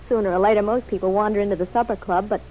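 A woman's voice: medium pitch, steady tone, fast, warm tone, natural voice. A woman acting a wry young radio-drama heroine. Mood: playful, teasing. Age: young adult. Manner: coolly ironic, playful, polite. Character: poised, wry young woman, quick with teasing retorts. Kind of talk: 1940s radio serial acting. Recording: dull, lo-fi old radio recording.

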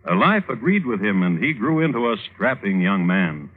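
A man narrating a classic radio show with dry, wry understatement in a husky voice. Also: fairly low pitch, big pitch swings, normal speed. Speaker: narrator, host. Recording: narrow-band old radio recording.

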